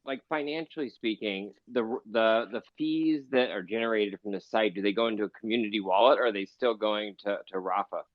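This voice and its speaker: Guy interviewer, lowish voice, halting questions, dry kinda lofi chat audio. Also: no echo. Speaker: interviewer, co-host. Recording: slightly dull online audio chat.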